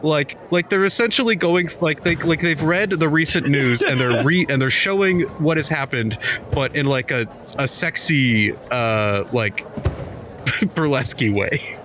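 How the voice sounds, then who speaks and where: A man's voice: fairly high pitch, measured pace, fairly bright tone, a little hiss or hum. A man playing a tabletop game, rambling through explanations with fillers and laughs. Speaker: player. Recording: music bed under the voices.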